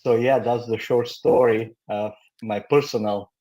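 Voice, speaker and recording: Interview guest: mature male, Bulgarian accent, candid storyteller who pauses and searches for words. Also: mid-range voice, fairly even intonation, mellow, dull audio. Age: middle-aged or older. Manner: halting, candid. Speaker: guest, company founder.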